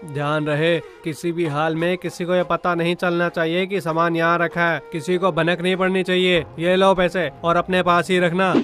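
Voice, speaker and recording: Man playing a high-pitched scheming servant. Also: level tone, normal speed, few pauses, a bit bright, soft background music. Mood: bossy, secretive. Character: scheming, impatient male servant of a merchant. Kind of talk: Hindi moral-story cartoon dialogue.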